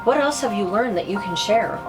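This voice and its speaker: A woman's voice: medium pitch, lively intonation, brisk, close to an everyday voice. A woman playing a concerned, curious mother who interviews an expert in a scripted drama, wide-eyed and sympathetic. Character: concerned, curious mother seeking diet advice. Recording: clear music bed behind the voice.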